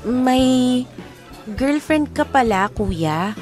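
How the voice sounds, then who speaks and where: A woman's voice: sits high, animated, extremely slow, a little mellow, exaggerated voice. A Filipino woman playing a shy, polite younger college student in a naturalistic audio drama. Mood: shy, subdued. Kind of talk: naturalistic radio-style drama acting. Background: clear background music.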